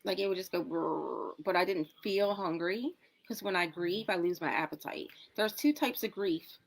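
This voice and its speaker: A woman in her forties talking in a casual social media video about her health, in a chatty, dramatic way, with sudden jumps between loud and soft. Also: lowish voice, normal speed, gravelly, poor audio. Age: 40s. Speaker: wellness product promoter in a clip.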